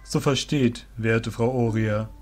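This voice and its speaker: A man voicing a wise, wary old fairy beast master, with a deep, rumbling voice and measured, formal speech. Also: steady tone, soft background music, close to an everyday voice. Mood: solemn distrust.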